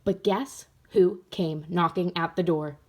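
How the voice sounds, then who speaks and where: A woman's voice: lowish voice, steady tone, a little slow. A woman reading a storybook aloud for children, expressively, speaking directly to young listeners. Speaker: storybook reader. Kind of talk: animated children's read-aloud.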